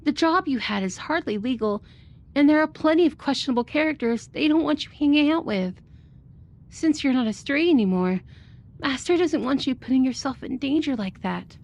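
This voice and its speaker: A woman's voice: high voice, animated, moderate pace, darkish tone. A woman playing a sweet, devoted but sly animal hybrid roommate in an ASMR roleplay, with a sugary, coaxing manner. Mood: reasoning. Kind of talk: ASMR audio roleplay acting.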